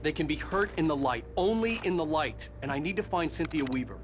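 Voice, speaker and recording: An actor playing an intense writer hero in a video game, speaking in a terse, serious, dry way. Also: male voice, fairly high pitch, animated, brisk, music in the background, close to an everyday voice. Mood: grim, determined. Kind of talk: video game cutscene acting.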